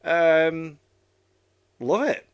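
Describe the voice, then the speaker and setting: A man's voice: a little high, expressive, very slow, smooth, muffled recording. A man hosting a reaction video in casual chat, enthusiastic and rambling, often repeating himself.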